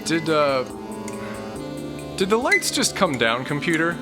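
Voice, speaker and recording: A man's voice: a little high, huge pitch swings, slow pace, over loud music, full character voice. A man playing a timid, awkward young man who hesitates and stammers. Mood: hesitant.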